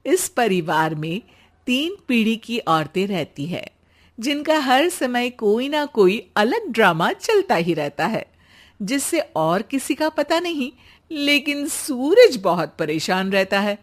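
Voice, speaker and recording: A woman narrating a bedtime cartoon story in a scripted, expressive way. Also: fairly high pitch, takes it slowly.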